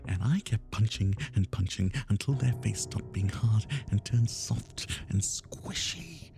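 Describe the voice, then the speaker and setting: Obsessive male kidnapper for dramatic ASMR, played by a man: tender yet menacing, low gravelly murmur sliding into whispers, confiding and anguished. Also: lively intonation, measured pace, over music. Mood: hurt, seething. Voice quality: gravelly, often breathy whisper. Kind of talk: roleplay ASMR monologue, intimate and dramatic.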